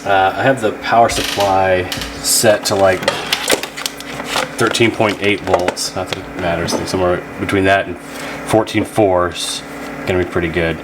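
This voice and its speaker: A man's voice: low voice, steady tone, moderate pace, heavy background noise. A man hosting a repair video, narrating casually and thinking aloud as he works in a loose, rambling way. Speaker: host of a radio repair channel.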